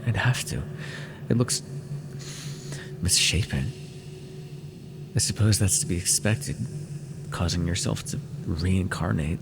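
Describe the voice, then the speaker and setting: An ancient immortal villain, acted by a man, brooding and tormented yet tender. His lowish voice creaks heavily and moves very slowly, with dramatic halts, as in an intimate fantasy audio roleplay. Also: noisy background. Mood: hesitant, brooding. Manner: slow, halting, dramatic delivery with sighs and pauses.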